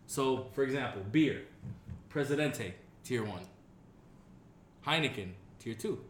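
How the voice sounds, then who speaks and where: A man's voice: mid-range voice, lively intonation, a little slow, a bit bright. A man co-hosting a casual podcast, joking and swearing, talking loosely with lots of fillers. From Dominican family. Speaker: podcast co-host. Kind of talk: casual chat. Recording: echoey room with faint hiss.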